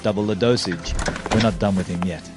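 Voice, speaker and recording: A man acting as a cold mastermind in a radio drama. His voice is low and he gives orders in a clipped way, with loud music behind him. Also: brisk, lightly acted. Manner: clipped, commanding. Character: cold calculating male villain giving orders.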